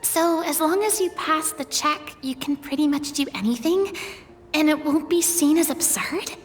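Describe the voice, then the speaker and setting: Woman as very high-voiced earnest young girl. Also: moderate pace, long reverb tail, soft background music, lightly acted. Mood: curious, analytical. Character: bookish, earnest young girl playing a tabletop game. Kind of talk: anime-style game voice acting.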